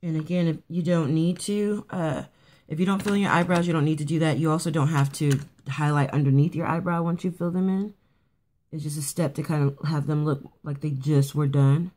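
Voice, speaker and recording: A woman with a raspy morning voice casually talking through a quick makeup routine, rambling a bit with fillers and restarts. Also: sits low, steady tone, dark tone, slight background noise. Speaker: beauty vlogger. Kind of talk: casual makeup tutorial chat. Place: at home getting ready for work.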